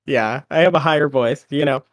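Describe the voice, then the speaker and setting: A rapper guesting on a podcast, a man who is a little sick with a cold, talking in a relaxed way and laughing often. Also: mid-range voice, moderate pace. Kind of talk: casual interview chat.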